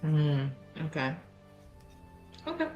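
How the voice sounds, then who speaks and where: A woman playing a tabletop roleplaying game, talking casually in a deliberate, wry way with pauses. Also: low voice, sing-song, muffled recording, soft background music.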